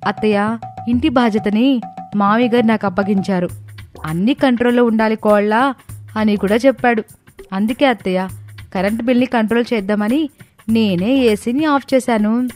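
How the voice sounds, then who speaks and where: A woman plays a young, thrifty daughter-in-law from a moral story. Her voice sits fairly high, and she explains and argues in an earnest, plucky yet respectful way. Faint music plays behind her. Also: moderate pace, long pauses, darkish tone, close to an everyday voice. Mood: pleading, defiant. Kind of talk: dramatized moral story dialogue.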